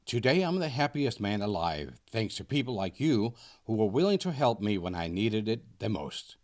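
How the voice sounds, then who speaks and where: A man, a nonprofit founder reading a scripted first-person presentation in an earnest, measured way. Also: on the low side, big pitch swings, darkish tone. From Denmark. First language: Danish. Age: 60s. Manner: earnest, measured read-aloud delivery.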